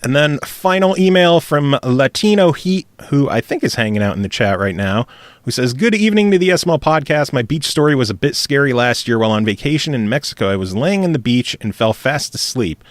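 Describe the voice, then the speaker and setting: A man's voice: mid-range voice, big pitch swings, brisk, dry recording. Dry-voiced male podcast host. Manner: reads emails aloud, laughs often. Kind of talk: casual chat, reading listener emails.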